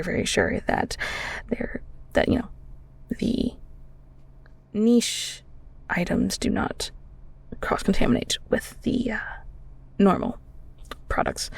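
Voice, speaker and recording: Woman playing a breathy, bashful ghoul girl, unhurried. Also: mid-range voice, darkish tone, dry recording. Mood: earnest, flustered. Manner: flustered, stammering, giggly, rambling. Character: bashful, bungling, kindhearted young female Filipino ghoul cook. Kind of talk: intimate audio roleplay acting.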